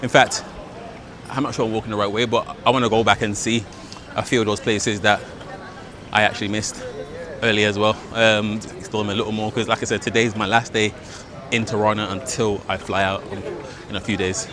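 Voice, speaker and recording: A man, chatting casually to camera as a travel vlogger, excitable and slangy with plenty of fillers. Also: lowish voice, a little fast, a crowd in the background. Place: outdoors on city streets and in a park. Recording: outdoor city noise behind.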